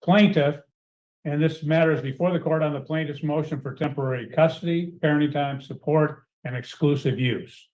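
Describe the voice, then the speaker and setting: A man acting as judge in a court hearing, reading out rulings in a formal, measured way. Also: a little high, a little mellow, low-quality recording. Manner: measured, formal, reads out orders.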